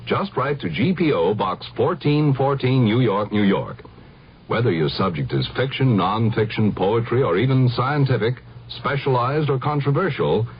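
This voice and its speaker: An American man delivers a scripted radio commercial in a strongly raspy voice. His pitch swings widely as he sells, and the broadcast sound is old and muffled. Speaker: commercial announcer. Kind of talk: scripted radio advertisement read.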